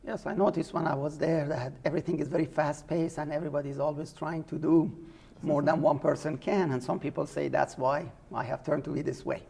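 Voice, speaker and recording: A middle-aged man, a business school dean, hosting an informal educational interview in a measured way with frequent hesitations. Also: fairly high pitch, fairly even intonation, gravelly, darkish tone, slightly rough.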